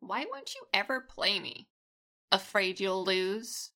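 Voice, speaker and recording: A woman's voice: medium pitch, huge pitch swings, slow, sharp tone, exaggerated voice. A woman playing a cocky young gamer who goads her rival in a casual, taunting way in an audio drama.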